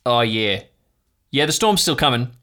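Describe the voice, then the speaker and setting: A man's voice: mid-range voice, animated, normal speed, sharp tone. A man voicing an easygoing older seafaring character in an improvised audio drama, folksy and rambling. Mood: laid-back.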